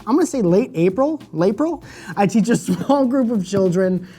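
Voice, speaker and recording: A man's voice: high-pitched, lively intonation, normal speed, mellow, husky. A man improvising a casual phone chat as a prank caller, rambling, apologetic and self-deprecating. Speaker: prank caller on a comedy show. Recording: music playing behind.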